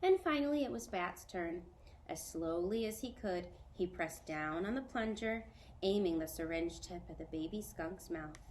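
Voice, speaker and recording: Gentle teacher reading aloud. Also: female voice, lowish voice, lively intonation, slight background noise. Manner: clear, measured and gentle. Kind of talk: reading a children's novel aloud.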